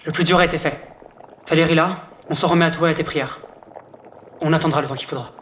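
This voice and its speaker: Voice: medium pitch, steady tone, fast, fairly bright tone, slight background noise, natural voice. A man playing a stern, protective, guarded insect adventurer with a curt, firm manner in an audio drama. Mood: resigned. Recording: long reverb, music behind.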